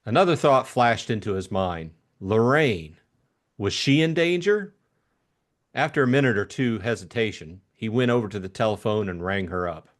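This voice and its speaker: A man narrating a literary audiobook in an expressive storytelling style. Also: on the low side, moderate pace.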